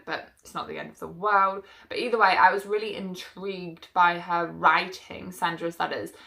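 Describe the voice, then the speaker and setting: A young woman vlogging about her reading list with a bright, clear-toned voice. She sounds a little stuffy from hay fever and rambles along casually, full of fillers and asides. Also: on the low side. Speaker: book vlogger. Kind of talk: casual chat to camera about books.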